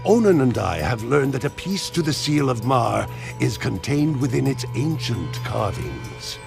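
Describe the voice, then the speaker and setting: An actor voicing an officious talking bird who translates for a sage in a video game, declaiming instructions. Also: male voice, mid-range voice, very animated, unhurried, creaky, music in the background, heavily acted. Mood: instructing. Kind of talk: animated video game cutscene acting.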